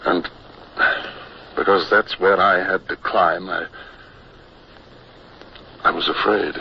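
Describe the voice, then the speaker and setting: An actor playing a young British naval officer who recounts his fears in grave, measured, earnest narration for a radio drama. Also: low voice, fairly even intonation, vocal fry, heavily acted. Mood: tense, dread. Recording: muffled, noisy old radio recording.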